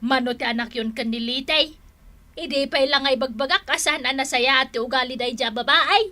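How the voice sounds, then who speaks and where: A woman's voice: sits high, normal speed, bright, forceful tone. A woman playing a chatty, teasing hostess in an Ilocano radio drama, melodramatic, with a northern Philippine accent.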